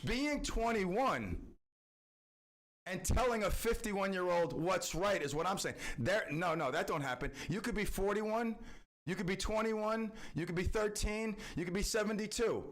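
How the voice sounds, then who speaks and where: A man's voice: sits high, a bit bright. A middle-aged man hosting a talk radio show, ranting in a boastful, theatrical way. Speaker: radio host.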